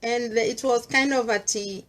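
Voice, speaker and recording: A woman's voice: fairly high pitch, steady tone, a little slow, a bit bright. A middle-aged Kenyan woman with a Kenyan accent, speaking earnestly in a personal monologue and mixing English with Swahili. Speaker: vlogger sharing personal views.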